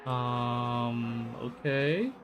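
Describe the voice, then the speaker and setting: Male game streamer, medium-pitched, dramatic when reading, reactive commentary, light music underneath. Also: fairly even intonation, drawn-out words. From Philippines.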